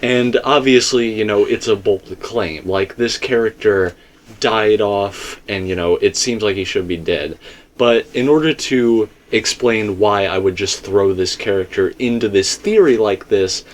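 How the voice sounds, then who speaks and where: A man with a slightly low voice leads a casual discussion of theories. He talks in a rambling but emphatic way, like a host laying out a case. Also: measured pace.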